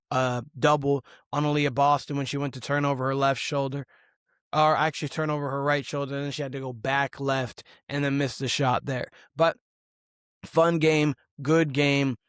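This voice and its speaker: Guy with a hoarse voice doing a solo hoops recap, kinda monotone, dead dry sound, just chatting through the numbers. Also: medium pitch, no echo. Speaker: basketball show host. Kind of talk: solo sports analysis podcast.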